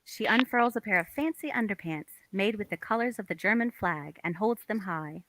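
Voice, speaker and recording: Bright-voiced woman reading stage directions. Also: mid-range voice, animated, normal speed, no room sound, rough recording. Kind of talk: scripted narration, reading stage directions aloud.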